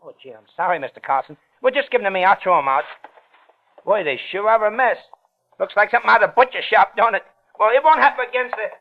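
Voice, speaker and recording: An American actor playing an eager, folksy young working-class helper, chatty and deferential, in 1940s radio drama style. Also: male voice, sits high, lively intonation, fast talker. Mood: apologetic. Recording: narrow-band old radio recording.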